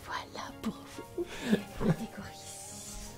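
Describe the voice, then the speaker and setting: A woman playing a sweet, excitable young intern in an ASMR roleplay, in a breathy whisper with gentle delight. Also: a little slow, music in the background, natural voice. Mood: gentle, playful. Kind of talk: French ASMR roleplay acting.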